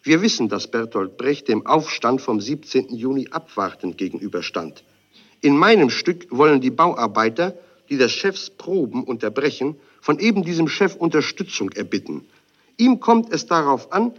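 A man giving a formal prepared speech as an author, with rhetorical, emphatic phrasing. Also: medium pitch. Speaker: author giving a speech about his play. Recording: dull lo-fi archive recording.

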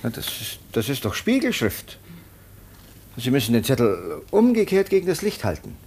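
Stern, sceptical lieutenant, husky, lively pitch. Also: male voice, moderate pace, exaggerated voice. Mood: sceptical, assured. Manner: curt, probing, authoritative. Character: stern, sceptical police lieutenant, interrogating briskly. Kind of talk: German radio drama acting.

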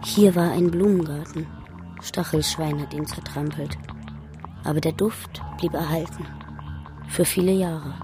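A pensive, innocent female figure muses in a radio drama monologue. Her voice sits fairly low and moves in short, halting phrases, with music playing behind it. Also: steady tone. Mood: pensive, wistful.

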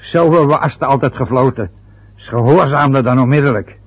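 A man's voice: medium pitch, brisk, noisy background, lightly acted. An older man playing a stubborn, sarcastic father in a radio drama. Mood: aggrieved. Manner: grumbling, sarcastic. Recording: dull lo-fi old radio recording.

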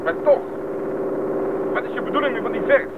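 A man's voice: extremely high pitch, brisk. A man in a radio play playing a cautious, inquisitive companion who often sounds questioning. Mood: doubtful. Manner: questioning, wary. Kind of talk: Dutch radio play acting. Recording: tinny old radio recording, loud engine noise.

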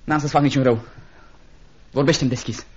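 An actor playing a compassionate young assistant teacher in a radio drama, speaking earnestly and gently with theatrical diction. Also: male voice, mid-range voice, steady tone, breathy edge, close to an everyday voice. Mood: gentle, consoling. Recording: dull, noisy old radio recording.

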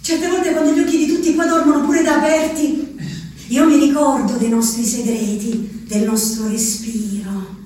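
Actress as a high-voiced, restless Abruzzese asylum inmate. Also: female voice, steady tone, normal speed, darkish tone, lightly acted. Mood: hushed, longing. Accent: Abruzzo Italian. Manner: obsessive counting, repetitive, intimate dialect storytelling. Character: restless Abruzzese peasant wife confined in asylum. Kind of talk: theatrical radio drama monologue, reciting a letter. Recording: roomy, distant, noisy radio-theatre recording.

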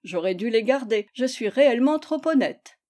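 Woman playing a bold, high-voiced teasing baroness. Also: expressive, moderate pace, darkish tone, close to an everyday voice. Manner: mocking, assertive.

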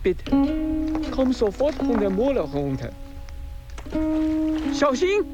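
A man's voice: very high-pitched, wildly swooping pitch, slow, full character voice. An actor playing a worried male friend who urges caution, anxious and urgent, in a radio drama. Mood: alarmed. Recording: dull sound, music behind.

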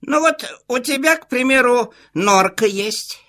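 A young boy hare in a storybook audio play, high and bright. He chats knowingly and cheekily, explaining things with very lively swings of pitch. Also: a little slow. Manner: chatty, explanatory, colloquial. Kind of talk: children's audio play acting.

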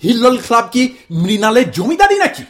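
A man playing a pompous, high-pitched Bengali husband. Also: sing-song, quick pace. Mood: indignant. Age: middle-aged. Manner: pompous, declamatory, hectoring. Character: self-important, indignant Bengali husband and father, zealous would-be protester. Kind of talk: stage-style radio play acting.

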